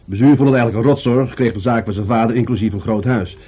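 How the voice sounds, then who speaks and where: A man's voice: mid-range voice, quick pace, warm tone, slightly rough, close to an everyday voice. A man acting in a Dutch radio play as an earnest, thoughtful police adjutant, politely and persistently explaining to his superior.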